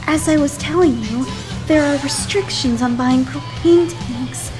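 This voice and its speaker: An actress voices a flustered female store manager in an audio drama. She is formal and placating, with a high voice. Music sits underneath. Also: unhurried.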